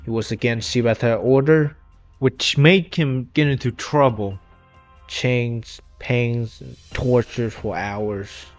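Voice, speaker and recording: A man's voice: mid-range voice, steady tone, slow. A man narrating an informal scripted story retrospective in loose, non-standard grammar, recorded on an inexpensive microphone. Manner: non-standard grammar with dropped verb endings. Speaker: video narrator. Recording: dull lo-fi cheap mic, music behind. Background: music.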